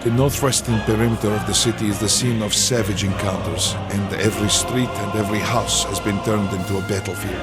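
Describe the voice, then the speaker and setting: A male actor voices a solemn Red Army soldier bearing witness in a war game cutscene. His man's voice is low and grave, with a measured delivery. Music is clearly heard underneath. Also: close to an everyday voice. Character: solemn steadfast Soviet soldier giving wartime testimony. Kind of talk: dramatic quotation reading, video game cutscene. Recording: music behind the voice. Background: loud music.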